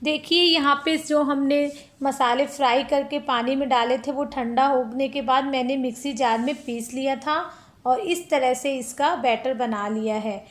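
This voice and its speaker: A woman's voice: high voice, a little slow. A woman hosting a cooking channel, chatting step by step through a recipe in a home kitchen and calling her viewers friends.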